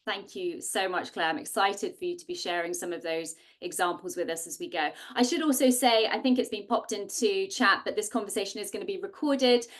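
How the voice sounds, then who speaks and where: A woman's voice: mid-range voice, a little fast, hardly any pauses. A woman in her forties hosting and presenting a live online masterclass over a video call. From Singapore. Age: 40s. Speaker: host and co-founder presenting a masterclass.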